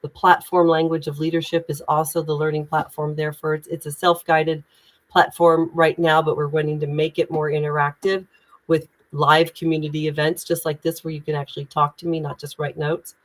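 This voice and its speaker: A woman with an American Midwestern accent talks in an energetic, emphatic way, coaching on leadership. Her voice sits fairly low for a woman. She speaks through a video call microphone. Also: brisk, rough recording. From United States (Indiana). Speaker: guest, executive communication coach. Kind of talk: LinkedIn live conversation.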